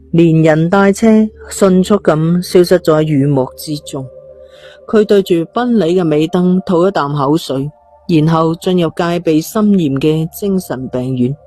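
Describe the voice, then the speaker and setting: Lowish, mellow woman narrating a story. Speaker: audiobook narrator.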